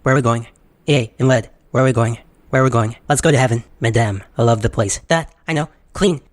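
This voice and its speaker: A man voicing an excitable robot in a video game parody, babbling in a disjointed, repetitive way. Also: mid-range voice, a little fast, dry recording, natural voice. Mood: excited babbling. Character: excitable, babbling male robot sphere speaking nonsense.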